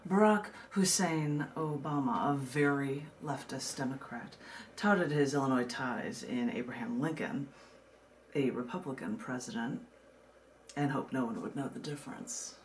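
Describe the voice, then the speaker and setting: A woman poet from the United States reading her own work and talking casually about it, in a measured way with dramatic pauses. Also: very deep voice, a little hiss or hum. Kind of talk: poetry reading with casual asides.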